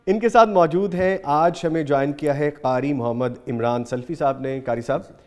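A man's voice: a little high, normal speed, a little mellow. A man in his thirties hosting a religious morning show in a TV studio, speaking in an earnest, formal and courteous way. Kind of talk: TV show presenting. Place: TV studio set.

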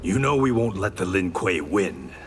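An actor playing a calm, resolute young blind swordsman in a fighting game, composed and firm. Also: low-pitched, moderate pace, over loud music.

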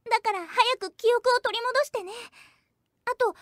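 Piercingly high anime teen girl, bossy and lovestruck, dry sound. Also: moderate pace, a bit bright, no echo. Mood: lovestruck, insistent. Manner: assertive, casual feminine speech. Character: bossy, lovestruck teenage schoolgirl. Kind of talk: Japanese anime drama CD acting.

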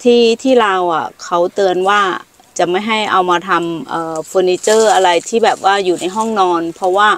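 A middle-aged woman giving an unhurried, explanatory walk around her farm, pointing out trees and their uses, with hesitant fillers. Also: medium pitch, a little hiss or hum. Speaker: farm owner showing trees. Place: outdoors on a farm among trees.

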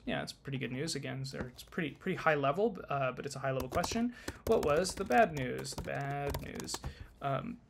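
A man presenting a software tutorial in a conversational, informal and explanatory way, as in a casual screen-share. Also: on the high side.

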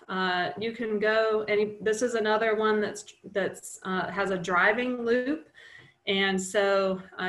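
A middle-aged woman presenting a nature webinar over a video call, relaxed and chatty, with many fillers and restarts. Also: mid-range voice. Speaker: wildlife nonprofit director presenting a webinar. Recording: roomy video-call audio.

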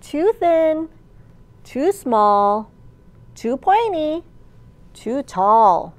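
Lady librarian doing kids storytime, high voice, really slow and animated. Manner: animated, slow and clearly enunciated. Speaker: children's librarian and storytime host. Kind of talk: picture-book storytelling for small children. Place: large indoor library room.

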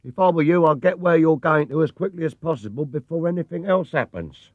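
A man's voice: a little high, brisk, dry recording, narrow, tinny audio, voice pushed up, natural voice. A man voicing a friendly, chatty working-class London cab driver. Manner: chatty and matey.

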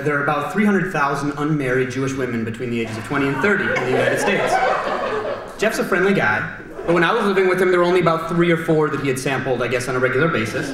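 A man in his thirties reading a humorous wedding toast from paper in a dry, deadpan way, in a large banquet hall. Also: medium pitch. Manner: dry, deadpan delivery over audience laughter. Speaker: wedding toast giver, friend of the groom. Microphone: handheld mic held low. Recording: roomy hall from a distant camera with crowd. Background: wedding guests laughing.

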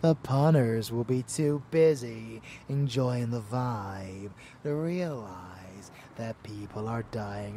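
A man playing a rebellious, murderous vampire lover in an intimate ASMR roleplay, teasing and menacing, breathy and often dropping into a whisper. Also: fairly low pitch, a little mellow, slight background noise. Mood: menacing, gleeful.

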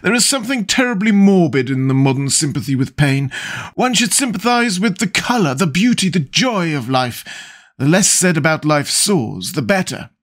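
A man voicing a witty, languid young aristocratic gentleman with an urbane, epigrammatic manner, read as an audiobook character. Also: on the high side, very animated, normal speed, pitched above the performer's own voice.

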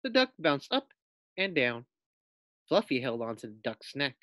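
American guy doing a kids read aloud, teacher style, really animated pitch, takes his time, dry close sound. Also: measured pace, dry recording. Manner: addresses young listeners with questions. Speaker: teacher reading aloud to pupils. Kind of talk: expressive children's read-aloud narration.

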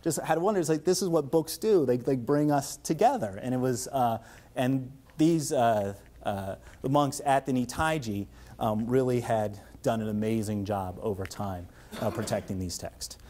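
Philly accent guy, middle-aged, rambly excited lecture in a seminar room, lots of um and restarts, picked up by a camera across the room. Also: mid-range voice, normal speed, a little hiss or hum. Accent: Philadelphia. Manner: enthusiastic, rambling, many restarts and fillers. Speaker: visiting lecturer. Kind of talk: academic seminar talk.

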